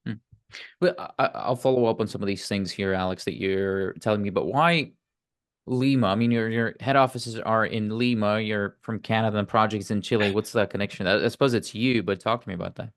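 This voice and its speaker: A man's voice: lowish voice, a little fast. A man hosting an interview over a video call, asking probing follow-up questions. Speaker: interview host. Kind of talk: interviewing a guest.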